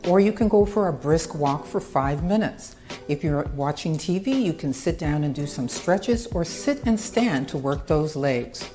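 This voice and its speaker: A woman's voice: low voice, expressive, a little slow, dark tone, faint music behind. A woman presenting a short scripted fitness tip segment for a sponsor, with a polished, upbeat delivery.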